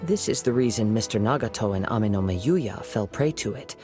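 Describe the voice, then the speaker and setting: An actor voicing a calm young wandering samurai in a game cutscene, speaking in a measured, earnest tone. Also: male voice, lowish voice, music in the background, natural voice.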